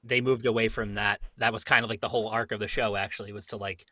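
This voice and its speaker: A man's voice: lowish voice, level tone, fast talker, bright, forceful tone, no room sound. A young adult man talking casually and enthusiastically as a fan in a group chat.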